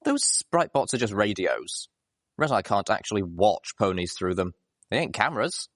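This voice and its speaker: A man's voice: lowish voice, sing-song, moderate pace, fairly bright tone, no echo, studio quality, full character voice. A man voicing a curious, matter-of-fact female pony drinker who talks in a folksy, plain way. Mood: sceptical.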